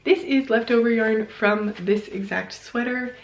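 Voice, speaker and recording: Creaky-voiced woman chatting in a roomy space. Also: a little high, unhurried. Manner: rambling, thinking aloud, self-correcting. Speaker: knitting vlogger and host. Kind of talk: casual chat to camera. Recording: roomy, echoing indoor sound.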